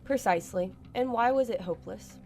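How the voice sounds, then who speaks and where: A woman's voice: mid-range voice, fairly quick, darkish tone, close to an everyday voice. A woman playing an anxious, irritable British science secretary in a radio drama, speaking emphatically. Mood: suspicious. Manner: emphatic, old-fashioned British turns of phrase. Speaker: actress. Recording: faint music behind a student radio play.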